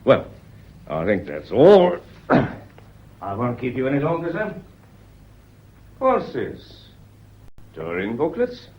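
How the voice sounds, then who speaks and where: A man acting in a British radio play as a polite, quietly persistent police inspector, measured and unflappable, with a British accent. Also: medium pitch, huge pitch swings, slightly rough, full character voice. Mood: courteous, casual. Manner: deferential, measured, unflappable, constantly courteous. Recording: dull lo-fi radio recording.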